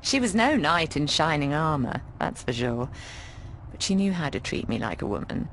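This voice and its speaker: Casting: middle-aged British landlady, fond and cheeky, animated delivery, game dialogue over noisy ambience. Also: low voice, moderate pace. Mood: happy, candid. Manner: affectionate, frank, cheeky, often calls people darling. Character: warm, bawdy middle-aged British landlady of a boarding house. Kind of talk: video game voice acting.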